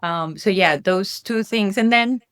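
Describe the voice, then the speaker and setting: A woman's voice: mid-range voice, a little slow, clean tone, dry recording, clean studio sound. A woman from Colombia with a Colombian Spanish accent, a native Spanish speaker talking reflectively as a guest parent, with many restarts. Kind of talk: casual conversation.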